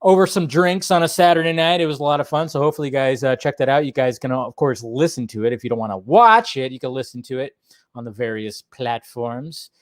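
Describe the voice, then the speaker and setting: A man's voice: medium pitch, a little fast, no echo, clean studio sound. A man hosting a live stream, chatting casually and loosely to his viewers and often repeating his phrases. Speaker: host of a live pop-culture talk stream. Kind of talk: casual rambling livestream chat.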